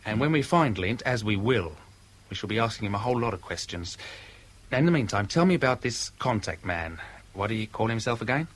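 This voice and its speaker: Low-voiced, wry police inspector. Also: male voice, animated, brisk. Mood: brisk, probing. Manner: wry, folksy, methodical questioning. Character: wry, blunt, unsentimental male police inspector. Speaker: actor in a radio drama. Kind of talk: 1970s radio drama dialogue. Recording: old radio drama recording, faint noise.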